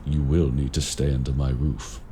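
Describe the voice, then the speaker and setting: A man playing a courteous, grave warlock mentor in raven form, speaking formally and deliberately in an audio roleplay. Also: extremely low pitch, little pitch movement, warm tone, a little hiss or hum. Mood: firm, matter-of-fact. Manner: formal, measured, deliberate phrasing. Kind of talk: audio roleplay addressed to the listener.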